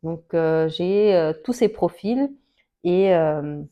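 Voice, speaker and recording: A woman's voice: on the low side, lively intonation, slow, muffled recording. A woman from Portugal, a Portuguese teacher, talking about her path to the camera, with frequent hesitations, fillers and restarts. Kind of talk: personal talk to camera.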